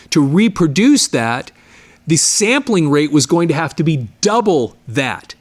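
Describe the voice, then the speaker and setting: A middle-aged man hosting his own channel, explaining audio in a rambling, casual way, full of restarts and repeated words. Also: on the high side. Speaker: channel host, media digitizing studio owner. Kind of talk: casual explanatory talk to camera.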